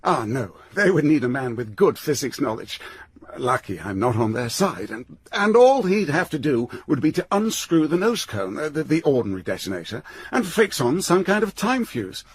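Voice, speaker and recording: A man's voice: on the high side, sing-song, normal speed, breathy edge, dry recording. A man playing an eager, apologetic weapons boffin in a radio drama, with an English accent and a fussy, hesitant, deferential way of explaining. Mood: keen, explanatory. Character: eager, apologetic male weapons boffin who loves technical detail.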